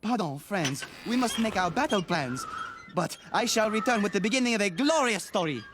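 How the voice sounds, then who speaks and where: A man's voice: high-pitched, expressive, moderate pace, background noise. An actor voicing an earnest young nobleman general in a cartoon, with a courtly, dramatic manner. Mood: gallant. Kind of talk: cartoon voice acting. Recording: music behind the voice.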